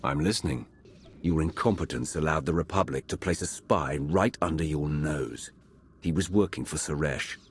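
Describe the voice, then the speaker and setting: An actor playing a cold, menacing Sith agent in a video game, with a terse, commanding delivery and gravelly voice. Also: male voice, a bass voice, moderate pace, exaggerated voice. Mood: grim, confident. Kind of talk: video game cinematic acting.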